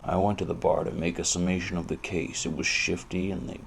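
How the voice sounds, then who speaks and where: An actor playing a cynical, hardboiled private eye in a noir audio drama, gravelly and deadpan. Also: male voice, deep voice, brisk, slight background noise. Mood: brooding, dramatic. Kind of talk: noir detective audio drama, narration and dialogue.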